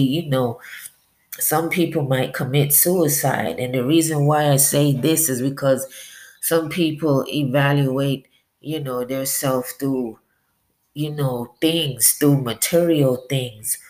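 A woman's voice: extremely low pitch, darkish tone. A woman reading scripture and then giving a plain, earnest devotional talk on a podcast, her voice nasal and sniffly from a stuffy nose. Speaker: podcast preacher.

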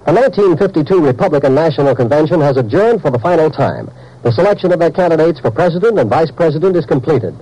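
A man's voice: on the high side, lively intonation, quick pace, mellow, breathy edge, poor audio. A man with an American accent reading a formal, emphatic 1950s radio network announcement.

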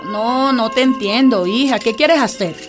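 A woman's voice: a little high, normal speed, music in the background, natural voice. An actress playing a poor, weary Venezuelan mother in a radio drama, skeptical and scolding but fond, with colloquial, teasing exclamations. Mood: puzzled.

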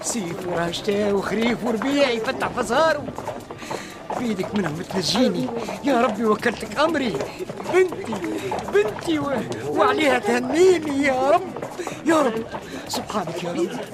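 An actor with a Tunisian accent playing an anxious, devout older vizier who prays with fervent, chanting intensity in a radio drama. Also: male voice, extremely high pitch, very animated, moderate pace. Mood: praying.

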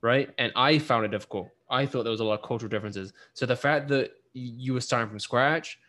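A man's voice: medium pitch, fast, bright, forceful tone. A man hosting a podcast in a mid-range voice, chatting loosely with his guest. He talks informally, drifting into anecdotes. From from abroad, living in the US. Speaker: podcast host. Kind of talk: casual interview chat.

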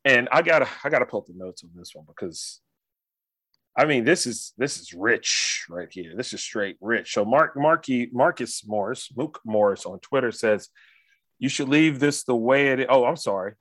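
An American man hosting a casual sports talk show, telling stories in an animated, slangy way with frequent check-ins to the listener. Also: mid-range voice, normal speed, dry recording. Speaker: sports talk podcast host.

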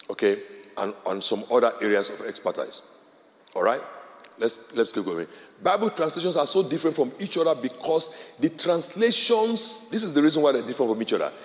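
A man, a Yoruba speaker, teaching a Bible class as a pastor. He explains step by step, repeats key phrases and keeps checking in with his listeners. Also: mid-range voice. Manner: explains step by step, checks in with "all right?" and "okay?". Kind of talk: classroom-style teaching talk. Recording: long hall reverb.

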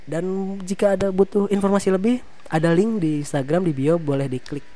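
Fast-talking young man with a stuffy nose. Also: sits high, mellow, slight background noise. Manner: playful teasing. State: stuffy nose, slightly hoarse. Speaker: podcast host. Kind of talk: casual podcast chat. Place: coffee shop.

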